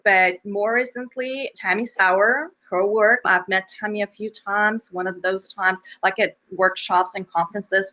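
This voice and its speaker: A woman from Bulgaria, who grew up speaking Bulgarian, talks as an interview guest about her writing. Her voice is bright and clear at a middle pitch, and she laughs often and speaks modestly. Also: poor audio. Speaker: guest author. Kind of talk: interview conversation.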